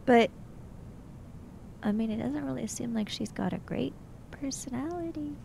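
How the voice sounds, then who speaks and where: A woman with a fairly high voice and plenty of vocal fry chats in character during a tabletop game. She sounds questioning and skeptical. The recording carries faint noise. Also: normal speed, dark tone. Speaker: tabletop roleplaying player.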